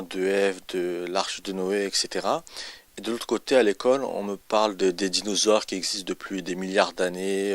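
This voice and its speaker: Male, mid-20s, lowish pitch. Personal testimony, unscripted, halting and hesitant delivery. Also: fairly bright tone, dry recording. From Paris, France. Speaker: convert giving personal testimony.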